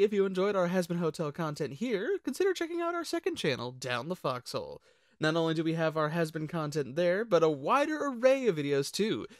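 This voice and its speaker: A man's voice: fairly high pitch, no echo, studio quality. Upbeat man hosting a channel outro. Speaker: channel host.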